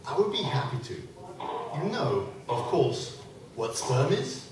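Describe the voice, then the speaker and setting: A man's voice: mid-range voice, sing-song, slow pace. Man playing an echoey, patronising eccentric doctor. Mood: obliging. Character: eccentric male doctor, smug, patronising, sourly misogynistic. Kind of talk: comic radio play acting before an audience. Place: convention room, live stage performance. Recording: echoey distant live room recording, background noise.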